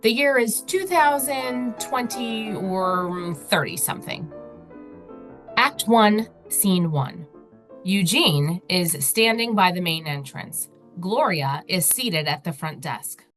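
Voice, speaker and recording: A woman's voice: mid-range voice, lively intonation, slow, over music. A woman narrating an audio play from a script, reading stage directions in a wry, tongue-in-cheek manner.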